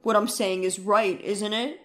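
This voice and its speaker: A woman's voice: mid-range voice, steady tone, a little slow, soft background music, voice pushed down, close to an everyday voice. A woman voicing a cold, scornful husband in dramatised story dialogue. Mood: annoyed, scornful. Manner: scornful, belittling. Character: cold, sneering husband, adult man, easily annoyed.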